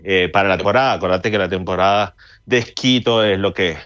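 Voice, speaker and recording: A man from Corrientes with an Argentine accent chats casually as an interview guest, haltingly, often repeating his phrases. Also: low voice, fairly bright tone, smooth. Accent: Argentine Spanish. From Paso de los Libres, Corrientes, Argentina. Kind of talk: casual chat. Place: remote call into a radio show. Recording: roomy, dull remote call.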